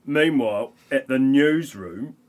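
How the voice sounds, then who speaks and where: A man's voice: medium pitch, animated, takes it slowly. A man narrating an amateur comedy audio play with wry, mocking asides.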